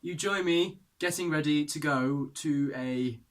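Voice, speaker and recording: A man's voice: on the high side, measured pace. A young man talking candidly in a casual, unscripted vlog update, rambling earnestly. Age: young adult. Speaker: vlogger.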